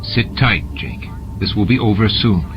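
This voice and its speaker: A steady, weary commander voiced by a male narrator speaks gravely and with authority in a low voice. The science fiction audiobook has music clearly behind it. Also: unhurried, dull audio, natural voice. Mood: reassuring. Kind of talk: audiobook character dialogue. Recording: music bed behind the reading.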